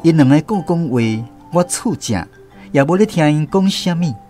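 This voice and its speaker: An actor as a man recounting his small-boy adventures for a radio drama, with a Taiwanese accent. His voice sits a little high and swings widely in pitch as he tells the tale. Soft plucked guitar plays behind him. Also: moderate pace, lightly acted. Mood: reflective, storytelling. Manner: animated first-person storytelling. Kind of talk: scripted radio drama narration. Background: plucked guitar music.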